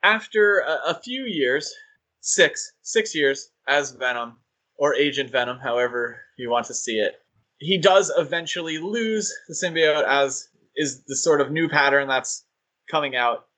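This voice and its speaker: A man's voice: fairly high pitch, animated, unhurried, sharp tone, low-quality recording. A man co-hosting a podcast, talking casually and joking with many fillers. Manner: chatty, joking, with frequent fillers. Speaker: podcast co-host.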